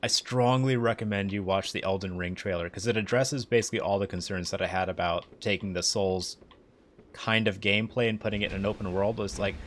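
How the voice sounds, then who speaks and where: A man's voice: fairly low pitch, fairly quick, a little hiss or hum. Chatty man with Southern-Midwestern twang. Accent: Southern and Midwestern American. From United States. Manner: chatty, excitable, self-mocking, rambling. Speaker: livestreamer. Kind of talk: casual live gameplay commentary.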